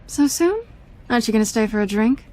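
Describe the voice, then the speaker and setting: An actress playing a sly, defiant young cat burglar in a dramatic video game, cool, teasing and deliberate. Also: female voice, a little high, lively intonation, a little mellow, lightly acted.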